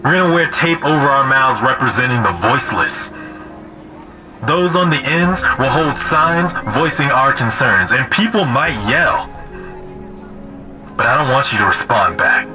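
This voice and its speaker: A man's voice: medium pitch, close to an everyday voice, rough recording. A man playing an earnest, resolute community leader in an audio drama, speaking emphatically like an orator. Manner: oratorical, emphatic, repeating phrases. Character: earnest, resolute young male community leader rallying neighbors. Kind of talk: rousing speech in an audio drama. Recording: music bed under the voice.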